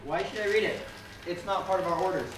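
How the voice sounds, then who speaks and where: Man playing an obedient worker, high voice, echoing stage. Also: fast talker, natural voice. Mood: dutiful. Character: obedient, resigned worker clinging anxiously to orders. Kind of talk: amateur stage one-act play, absurdist dialogue. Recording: very echoey hall, distant mic, faint noise.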